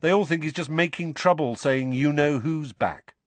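A man's voice: fairly high pitch, normal speed, dry recording, pitched above the performer's own voice, natural voice. A man voicing cheeky, irreverent teenage twin brothers who gossip, in an audiobook. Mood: gossipy.

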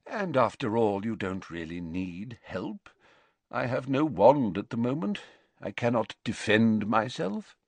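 A man voicing a wise, gentle, very old male wizard headmaster who speaks mildly and calmly. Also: lowish voice, measured pace, no echo, exaggerated voice. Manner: mild, soft-spoken and calm.

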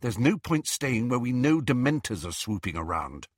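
A man's voice: medium pitch, big pitch swings, normal speed, no room sound, clean studio sound. A man voicing a bossy, anxious, clever teenage girl in an audiobook, with an urgent, earnest way of talking. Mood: conciliatory.

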